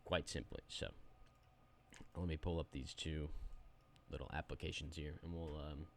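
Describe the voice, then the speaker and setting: A man's voice: a bass voice, flat delivery, moderate pace, a little hiss or hum. A young adult man giving a rambling, self-deprecating, unedited review of a phone app while sharing his screen.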